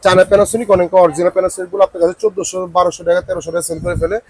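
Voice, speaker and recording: Male Bangladeshi shop salesman, high husky voice, informal showcase delivery with repeated price patter. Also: little pitch movement, racing, darkish tone, no echo. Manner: repetitive sales patter, some English words. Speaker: shop salesman presenting products.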